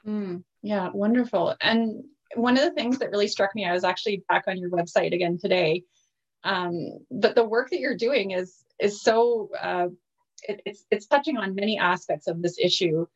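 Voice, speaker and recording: A woman hosting a podcast interview over a video call, measured and grateful toward her guests. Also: mid-range voice, dull audio. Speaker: podcast host.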